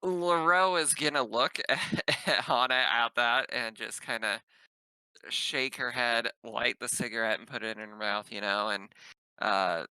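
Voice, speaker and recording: A man with a very bright, crisp voice plays a tabletop roleplaying game. He talks casually and jokingly, describing his character and speaking for them. The sound is close and dry. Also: mid-range voice, unhurried, dry recording, low-quality recording.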